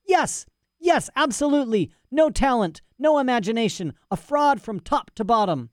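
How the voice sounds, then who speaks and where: A man's voice: very high-pitched, lively intonation, normal speed, no echo, studio quality, voice pushed up. A man voicing a mad old professor in a storybook reading, cranky, boastful and pompous, querulously tossing in "eh". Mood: ranting, scornful. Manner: agitated, querulous, pompous, punctuating talk with "eh".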